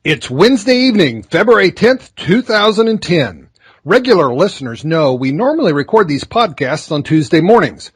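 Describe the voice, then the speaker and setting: A man's voice: high voice, very animated, normal speed, slightly rough, no room sound, studio quality. A man hosting an interview podcast, reading a scripted introduction and chatting with his guest. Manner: friendly, conversational, scripted intro. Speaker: podcast host.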